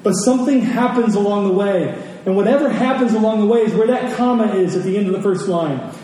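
A man's voice: high voice, fairly quick, dark tone. A man preaching a conversational sermon, repeating key phrases for emphasis. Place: large reverberant room. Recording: very echoey hall, distant mic, faint noise.

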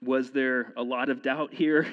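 A man's voice: mid-range voice, fairly even intonation, unhurried, in a large hall, dull audio. A man in his thirties preaching a sermon in a casual, conversational way, often restarting his sentences and using fillers. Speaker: pastor.

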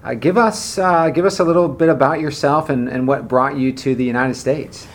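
A man hosting a church podcast, interviewing a guest in a friendly, halting way with frequent fillers. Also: mid-range voice. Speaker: podcast host. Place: Charleston, South Carolina church.

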